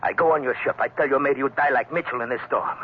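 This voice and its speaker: A man's voice: mid-range voice, fast, lightly acted. Man acting a menacing foreign villain, old radio. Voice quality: broken English. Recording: muffled, hissy 1940s radio recording.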